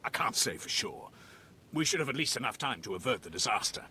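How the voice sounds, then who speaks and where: An actor playing an urgent Imperial sergeant in a video game, briefing in a forceful, commanding way. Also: male voice, mid-range voice, sing-song, a little fast, a little hiss or hum. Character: urgent Imperial military sergeant rallying against rebels. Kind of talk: video game quest dialogue.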